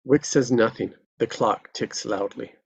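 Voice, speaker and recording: Husky narrator reading stage directions. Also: mid-range voice, measured pace. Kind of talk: scripted screenplay narration.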